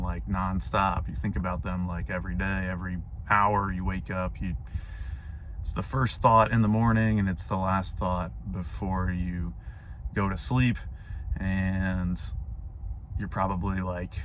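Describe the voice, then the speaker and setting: A young man whose voice is hoarse and wearing out, so it sits deep and low. He rambles reflectively to his viewers from a parked car, leaning on fillers. A faint hum of ambience sits behind him. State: hoarse, voice going out. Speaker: vlogger. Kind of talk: casual talking-to-camera vlog.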